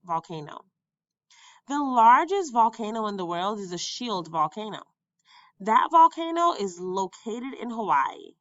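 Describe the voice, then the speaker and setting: Casting: female teacher type for textbook read-aloud, bright and animated, deliberate delivery, dry sound. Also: medium pitch, no room sound, dull audio. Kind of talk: educational read-aloud narration.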